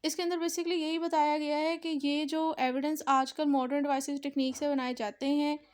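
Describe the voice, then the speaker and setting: A woman's voice: high-pitched, little pitch movement, moderate pace, darkish tone. A woman with a Pakistani accent lecturing on law, explaining step by step and mixing in English legal terms as she goes. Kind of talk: explanatory lecture, reading statutes then explaining.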